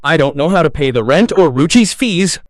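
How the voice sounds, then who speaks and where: A critical young husband in a story drama, performed by a man. His voice is a little high for a man, with a reproachful, serious manner. It is recorded dry, with little room sound. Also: lively intonation, fairly quick, dry recording, natural voice. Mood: bitter, distressed. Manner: stern, reproachful. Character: demanding, critical young husband, later remorseful.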